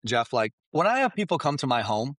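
A man whose first language is Farsi coaches his listeners in an emphatic, animated way, constantly checking in with them. His pitch swings widely as he drives his points home, and the sound is dry and close. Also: a little fast, no echo. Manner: emphatic, animated, keeps asking "right?" and "you understand?". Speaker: guest expert. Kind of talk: energetic business coaching talk.

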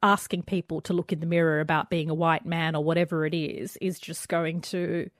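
A woman's voice: sits low, flat delivery, a little fast, dry recording, studio quality. A young adult woman with an Australian accent, a guest author speaking candidly and emphatically in an interview. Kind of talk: conversational interview.

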